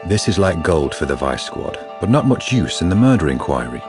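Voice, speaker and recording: An actor as the dry, wry narrator of a detective radio drama, reading scripted narration. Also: male voice, low-pitched, very animated, brisk. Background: music.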